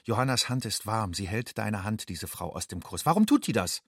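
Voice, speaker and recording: A haunted young undocumented man in a radio drama. His voice is low and husky, recorded dry and close. He talks to himself in terse, staccato phrases, secretive and turned inward. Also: sing-song, moderate pace, no echo, full character voice. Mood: agitated. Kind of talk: literary second-person monologue in a radio play.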